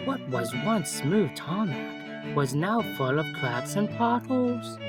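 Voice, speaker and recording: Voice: mid-range voice, big pitch swings, takes it slowly. A narrator reading a scripted children's story, with music playing behind.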